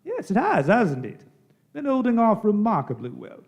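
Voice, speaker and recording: An unflappable cricket commentator played by a man in a radio play. The voice is high and swings widely in pitch, genteel and chatty throughout. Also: huge pitch swings, normal speed, exaggerated voice. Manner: genteel, unruffled.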